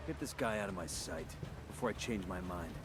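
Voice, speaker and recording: An actor playing a hard, commanding special forces squad leader in a video game, terse and stern. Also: male voice, deep voice, fairly quick, over music, natural voice. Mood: stern anger. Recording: loud background noise.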